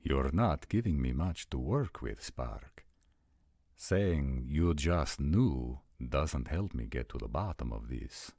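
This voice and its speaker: A man playing a formal, suspicious vampire nobleman with a measured, deliberate manner in an audio roleplay drama. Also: very deep voice, animated, gravelly, a little mellow, no echo, studio quality, full character voice. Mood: suspicious, demanding.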